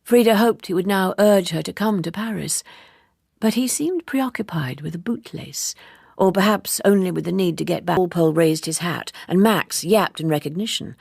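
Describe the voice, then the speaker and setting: A woman reading literary fiction as an audiobook narrator, in a measured, hushed and dramatic manner. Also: lowish voice, vocal fry, breathy edge, dry recording, studio quality.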